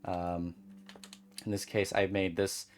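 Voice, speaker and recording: A streamer chatting casually and calmly while beading at a home desk, rambling gently with many fillers. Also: low voice, slow. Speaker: crafting streamer.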